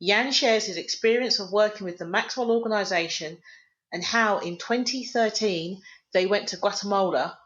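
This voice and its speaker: A woman hosting a podcast, reading a scripted introduction and then interviewing a guest in a measured, clear way. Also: medium pitch, fairly bright tone, lo-fi sound. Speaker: podcast host.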